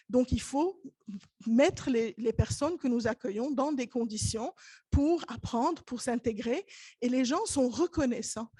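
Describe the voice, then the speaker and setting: Une femme chercheuse qui présente des résultats de recherche lors d'une table ronde universitaire, d'un ton sérieux et insistant. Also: female voice, fairly high pitch, mellow, dry recording. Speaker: researcher presenting. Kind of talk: academic panel presentation. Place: university conference hall.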